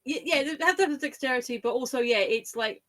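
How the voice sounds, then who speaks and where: A woman's voice: sits high, quick pace, a bit bright. A woman co-hosting a podcast, explaining things in casual conversation. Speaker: podcast co-host.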